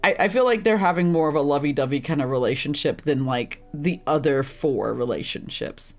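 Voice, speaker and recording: A woman with a deep, rough, slightly hoarse and congested voice, rambling through casual gameplay commentary and laughing at herself often. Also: fairly quick, darkish tone. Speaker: streamer playing a visual novel.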